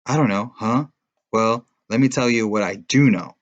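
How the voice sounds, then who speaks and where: A man's voice: lowish voice, normal speed, muffled recording, voice pushed up, natural voice. A man voicing a determined, sarcastic teenage girl who confronts her classmate in a read-aloud story. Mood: challenging.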